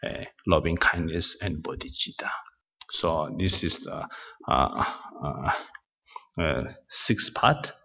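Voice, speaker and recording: A man teaching Buddhist practice to a class in English, which is not his first language, speaking haltingly with many fillers and restarts in a gentle, self-deprecating manner. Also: low-pitched, vocal fry, breathy edge. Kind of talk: Dharma talk to a class. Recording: dull, reverberant hall sound.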